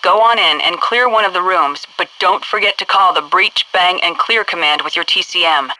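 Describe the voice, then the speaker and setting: An actress voicing a stern, brisk military headquarters instructor in a video game, giving clipped, commanding tutorial orders over a noisy radio-like transmission. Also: female voice, fairly low pitch, lightly acted. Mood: brisk, instructive.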